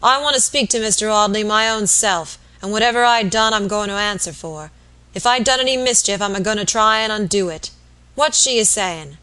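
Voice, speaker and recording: A woman voicing a savage, bullying dying innkeeper with a hoarse growl and a rustic English accent, in an audiobook. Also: mid-range voice, brisk, bright, forceful tone, pitched above the performer's own voice, close to an everyday voice, rough recording. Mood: suspicious, angry. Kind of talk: audiobook character voice.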